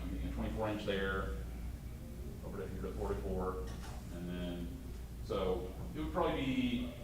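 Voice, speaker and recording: A man with a low, slightly hoarse voice walks through a costume demonstration in a halting way, often restarting his sentences. He sounds set back from the mic in an echoey convention room, with faint ambient noise around him. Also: far from the mic. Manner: halting, many restarts and fillers. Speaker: convention panel presenter. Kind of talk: live costume-making demonstration.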